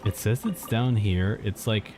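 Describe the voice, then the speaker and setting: A man's voice: fairly low pitch, a little slow. A man giving casual live commentary as he plays a game, muttering to himself and repeating short phrases. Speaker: game streamer. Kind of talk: casual live reaction commentary over gameplay. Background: game music.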